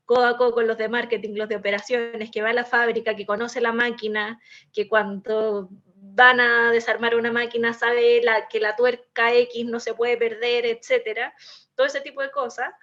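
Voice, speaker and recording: A woman with a fairly high voice and a Chilean accent explains her views as an in-house lawyer on a panel. She talks in a discursive way, full of "eh" fillers and restarts. The sound is somewhat muffled and lo-fi. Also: little pitch movement, moderate pace, fairly bright tone. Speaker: in-house legal manager, panelist.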